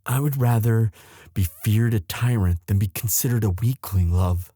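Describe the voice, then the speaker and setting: A man playing an anxious, protective young prince in love, speaking earnestly and haltingly in a romantic roleplay audio drama. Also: fairly low pitch, dark tone, no echo, clean studio sound, close to an everyday voice. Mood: conflicted, earnest. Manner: earnest, halting, tender delivery.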